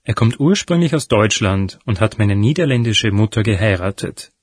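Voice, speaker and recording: A young man reading a scripted part as a student in a listening exercise, calm and even. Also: lowish voice, moderate pace, dry recording, clean studio sound. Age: young adult. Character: young Dutch male student, 22.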